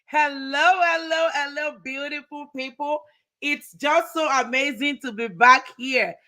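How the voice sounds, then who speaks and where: Woman, host, live motivational show, bright tone, a bit high, energetic, repeats words. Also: a little slow. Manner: energetic, repeats words, ends phrases with "right?".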